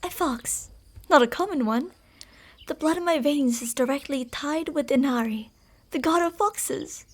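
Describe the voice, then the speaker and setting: A woman voicing an obsessive female fox spirit in a borrowed human body, speaking in a calm, formal, quietly manipulative way that dips into whispers. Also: sits high, lightly acted. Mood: composed. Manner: soft-spoken, dipping into whispers. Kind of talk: ASMR roleplay.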